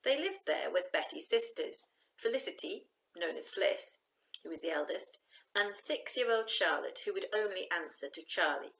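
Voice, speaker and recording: A woman reading a children's novel aloud in an expressive, emphatic storytelling style. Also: mid-range voice, moderate pace, poor audio.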